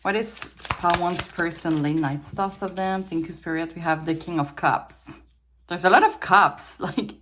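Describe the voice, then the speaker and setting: A woman's voice: fairly low pitch, normal speed, background noise. A woman from Quebec with a Quebec French accent, French as her first language, giving a casual tarot reading at a table, chatty, laughing often and restarting her sentences, a little tired. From Quebec, Canada. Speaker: tarot reader. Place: indoors at a table with trinkets.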